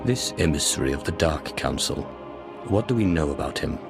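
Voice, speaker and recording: Very deep, imperious Sith lord. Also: male voice, animated, vocal fry, over loud music, full character voice. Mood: cold, composed. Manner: measured, curt, imperious. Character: cool, commanding male Sith lord. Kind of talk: scripted game cutscene acting.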